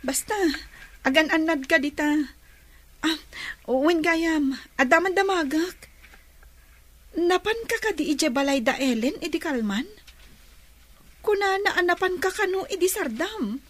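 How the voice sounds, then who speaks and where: A woman's voice: very high-pitched, expressive, measured pace, long pauses, dry recording. An actress playing an earnest young woman in love, in a radio drama. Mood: caring. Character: earnest young daughter, secretly in love with a sailor.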